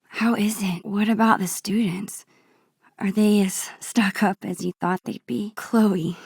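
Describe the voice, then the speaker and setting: A gentle, nerdy teenage girl in naturalistic video game dialogue. She speaks at a slow, easy pace with a soft, breathy, mid-pitched voice. Her teasing is wry and a little awkward, and the sound is close and dry. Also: dry recording. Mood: curious, teasing.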